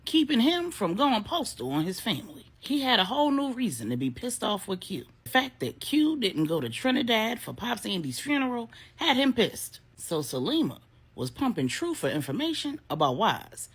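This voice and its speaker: Husky, animated woman storyteller. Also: fairly high pitch, moderate pace. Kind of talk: animated story narration, casual and colloquial.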